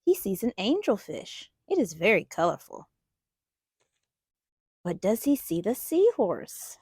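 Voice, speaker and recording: A woman's voice: mid-range voice, very animated, a little slow, a little mellow, breathy edge, no echo, studio quality. A woman hosting a children's storytime, reading a picture book aloud expressively and putting questions to young listeners. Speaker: children's storytime host and reader.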